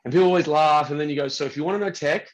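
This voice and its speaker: A man's voice: fairly high pitch, racing, lo-fi sound. Self-effacing man chatting on a panel. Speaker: webinar panelist, business-side tech salesman. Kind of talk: casual anecdote on a panel. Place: online webinar.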